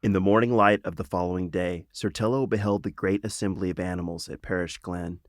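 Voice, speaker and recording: A man's voice: deep voice, fairly even intonation, brisk, no echo, studio quality. A man reading his own children's fantasy book as a scripted storybook narration.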